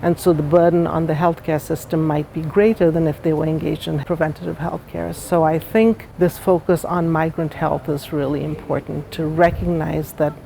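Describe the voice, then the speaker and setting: A woman's voice: low-pitched, little pitch movement, normal speed, creaky, hardly any pauses, warm tone, slight background noise, low-quality recording. A woman, a health professional, speaking thoughtfully in an interview.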